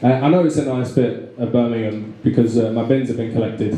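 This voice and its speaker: A man's voice: medium pitch, brisk, warm tone. A young man with a London accent doing a stand-up set, rambling with lots of fillers and restarts, self-deprecating. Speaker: stand-up comedian. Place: small pub comedy room with a live audience. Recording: very echoey room. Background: audience laughter.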